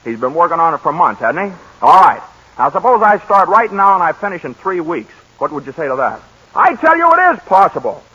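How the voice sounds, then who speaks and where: A middle-aged pollster who bursts with schemes, bouncing between gloomy and gleeful. The voice is bright and lively with a husky edge. It comes through a dull, muffled 1940s radio broadcast. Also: male voice, high voice, heavily acted. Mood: eager. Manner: animated, eager, fast swings from gloom to glee. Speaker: lead actor in radio drama.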